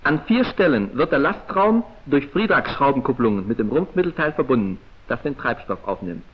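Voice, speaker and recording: A man's voice: mid-range voice, big pitch swings, normal speed, husky. Muffled 1940s film narrator, male. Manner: formal, precise, clipped declamatory delivery. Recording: muffled, dull vintage 1940s film soundtrack.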